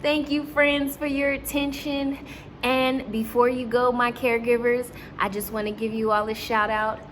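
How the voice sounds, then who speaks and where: A woman's voice: a little high, normal speed. Encouraging woman storytime teacher, noisy recording. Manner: animated, encouraging, addresses listeners as friends. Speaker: teacher and children's storytime host. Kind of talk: read-aloud storytime with asides to young children and caregivers.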